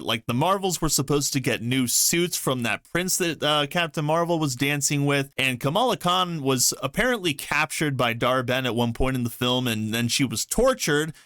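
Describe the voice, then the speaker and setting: A man's voice: medium pitch, fairly bright tone, no room sound, clean studio sound. A man hosting online commentary, reading articles aloud and reacting with emphatic opinions. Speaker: YouTube commentary host.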